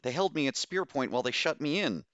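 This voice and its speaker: A man voicing an agitated, high-pitched complaining woman doctor. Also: sing-song, moderate pace, bright, forceful tone, no echo, lo-fi sound. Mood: agitated, frightened. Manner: strident, aggrieved complaining. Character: agitated, stubborn female doctor, hissing and whining.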